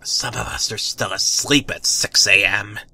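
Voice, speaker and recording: Man putting on a gruff, scolding woman neighbour. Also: on the low side, fairly even intonation, measured pace, sharp tone, heavily acted. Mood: angry. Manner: curt, scolding.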